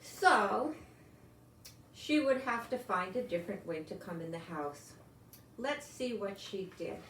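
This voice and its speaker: Older female storyteller for children's read-aloud; lively pitch, expressive, chatty asides, roomy home sound with faint noise. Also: measured pace. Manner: expressive, lingering on words, talking as to small children. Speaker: storyteller reading a picture book aloud.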